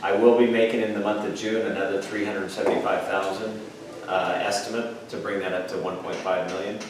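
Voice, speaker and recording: A man's voice: fairly low pitch, little pitch movement, fairly quick. A man giving an explanatory financial report at a board meeting in a plain-spoken, informal way. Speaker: finance officer presenting a report. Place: indoor public board meeting room. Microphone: distant room microphone. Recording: echoey meeting room, distant mic, faint noise.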